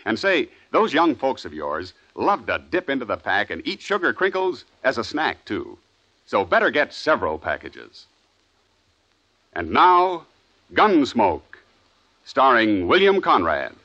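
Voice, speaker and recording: An American man working as a 1950s radio announcer, with a polished sales-pitch delivery. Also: huge pitch swings, unhurried, bright, forceful tone. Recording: dull old radio recording.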